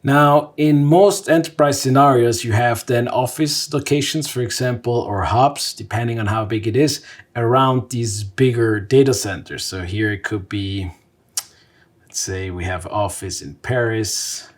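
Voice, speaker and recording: A man's voice: mid-range voice, unhurried. A man in his thirties from Switzerland presenting a casual tech explainer, conversational and thinking aloud as he sketches. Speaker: vlog host and tech presenter. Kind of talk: casual whiteboard explainer to camera. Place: small home studio indoors.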